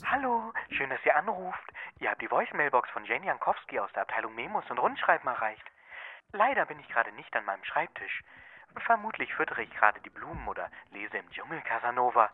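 A man's voice: mid-range voice, no room sound. A man playing a cheerful, wry, work-shy office secretary recording a voicemail greeting in a comedy audio drama. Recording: dry, tinny voicemail greeting.

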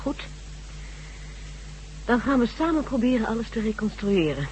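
A woman's voice: fairly high pitch, very animated, moderate pace, darkish tone, a little hiss or hum, low-quality recording. An actress playing an earnest woman scientist in a radio drama, speaking in an urgent, persuasive and polite way. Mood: composed, insistent. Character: earnest, insistent woman scientist who treats friends.

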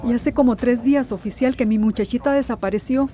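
Actress as high-pitched, anxious Central American mother. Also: fairly quick, noisy background, close to an everyday voice. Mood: worried, pleading. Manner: emotional, colloquial, pleading. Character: anxious devoted mother searching for her missing daughter. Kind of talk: radio drama acting.

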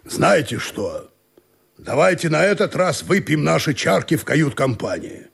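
A man playing an authoritative, drily moralising sea captain in a comic audio drama, with a gravelly, husky, commanding delivery. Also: on the high side, sing-song, full character voice. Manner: commanding, dry, deliberate.

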